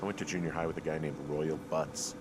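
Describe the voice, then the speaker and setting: An actor playing a guarded, sardonic everyman fire lookout in a video game, speaking in a casual, gruff deadpan. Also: rumbling low, fast talker, very noisy. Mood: amused deadpan. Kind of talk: naturalistic video game voice acting, casual banter.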